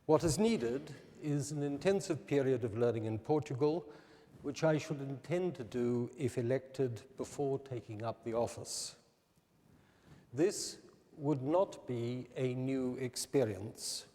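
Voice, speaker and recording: A man delivers a formal prepared speech at an unhurried, deliberate pace. His voice is mellow and dark in tone. The sound carries a long reverb from a large hall. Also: mid-range voice. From New Zealand. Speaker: candidate giving a public presentation.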